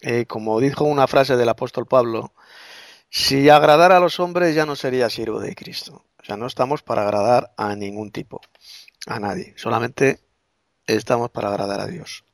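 A man's voice: medium pitch, moderate pace, gravelly, no echo, studio quality. A man with a Castilian Spanish accent exhorting in a religious discussion, emphatically repeating his points.

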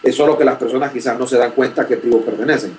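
A young man speaks politely and formally, pausing often with fillers. His voice sits mid-pitch and sounds a little distant from the mic. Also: fairly even intonation, darkish tone, slight background noise. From Honduras. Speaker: graduate student, first-time participant. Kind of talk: asking a question in an online chat.

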